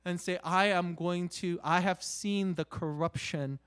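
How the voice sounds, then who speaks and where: Male preacher, slightly high voice, very unhurried, conversational yet emphatic, halting delivery with rhetorical questions. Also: steady tone, fairly bright tone, clear, resonant tone. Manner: halting, with repeated "you know" and rhetorical questions. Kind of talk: conversational sermon.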